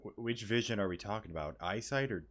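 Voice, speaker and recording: A woman's voice: a bass voice, fast talker. A woman giving casual, blunt, slangy commentary over a game as she plays it. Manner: laid-back, blunt, slangy. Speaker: gaming YouTuber. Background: faint game music.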